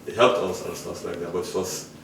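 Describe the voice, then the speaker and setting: A young man from Nigeria speaks in a steady, explaining way and often adds "you know". His voice is lowish. He sits away from the mic in a very echoey room. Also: fairly quick, creaky, recorded from a distance, slight background noise.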